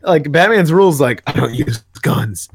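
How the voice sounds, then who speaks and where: A man's voice: fairly high pitch, lively intonation, moderate pace, husky, no room sound. A young man co-hosting a casual comic-book podcast, hesitant and full of ums. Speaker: podcast co-host.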